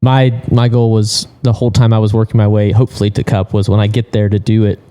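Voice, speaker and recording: A man's voice: fairly low pitch, little pitch movement, quick pace, mellow, clear, resonant tone. A man who races cars, hosting a casual podcast interview and chatting with a crewman. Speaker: race car driver hosting a podcast interview.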